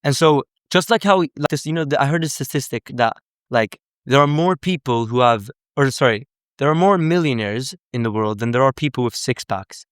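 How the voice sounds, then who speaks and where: A man's voice: medium pitch, animated, normal speed, clear, resonant tone, no room sound, clean studio sound. A young man from Sweden, a language coach, explaining in casual unscripted live commentary, earnest and correcting himself often.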